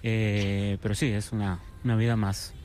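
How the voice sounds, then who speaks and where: Casting: man, Venezuelan accent, lowish voice, relaxed interview guest who repeats himself, faint noise behind. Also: normal speed. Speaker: circus artist, interview guest. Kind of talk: casual conversational interview.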